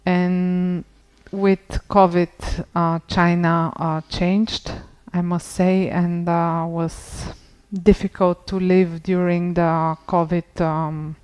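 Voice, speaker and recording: A woman in her thirties from Bulgaria, speaking English as a podcast guest, unhurried, with frequent pauses and restarts. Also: on the low side, little pitch movement, a little mellow, clear, resonant tone. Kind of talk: casual interview conversation.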